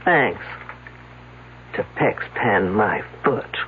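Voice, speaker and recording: Casting: sharp-witted lady detective, lively sardonic delivery, vintage radio drama, tinny noisy recording. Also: very deep voice, heavily acted. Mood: skeptical. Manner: sardonic, brisk, quipping. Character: wisecracking, dry-witted woman police detective. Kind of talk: old-time radio drama acting.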